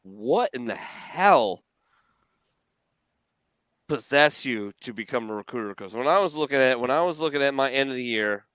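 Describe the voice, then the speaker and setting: A man's voice: mid-range voice, animated, moderate pace, long pauses, a bit bright, clear, resonant tone, dry recording, lo-fi sound. A man chatting casually on a podcast from his home basement, telling blunt, profane stories. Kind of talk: casual chat.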